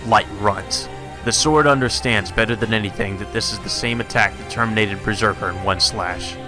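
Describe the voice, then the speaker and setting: A man's voice: on the low side, normal speed, over music. A man reading a visual novel aloud as narration, in the manner of a let's play host.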